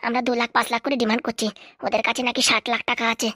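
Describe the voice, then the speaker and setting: Woman voicing a high-pitched, sly nurse. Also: flat delivery, very fast, pushed and bright, dry recording, studio quality. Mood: wheedling. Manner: pushy, wheedling, chatty. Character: sly, greedy female nurse in a hospital scam. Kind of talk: comedy cartoon dialogue.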